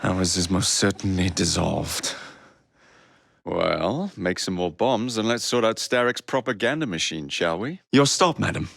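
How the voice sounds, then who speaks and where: An actor playing a cocky young Victorian London rogue in a video game, cheeky and teasing with an English accent. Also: male voice, low-pitched, a little slow, creaky, a bit bright, no echo, clean studio sound, exaggerated voice. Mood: wry, determined.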